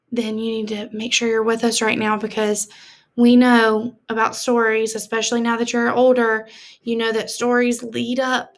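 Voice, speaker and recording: A woman teacher reading a storybook aloud to children in an expressive, deliberate, explanatory manner. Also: fairly high pitch.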